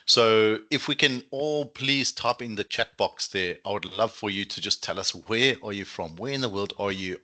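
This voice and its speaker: A man's voice: lowish voice, normal speed, hardly any pauses, sharp tone. A man with a South African accent, presenting an online session in an enthusiastic, chatty way as a recruitment manager. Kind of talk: informal webinar presentation to an online audience.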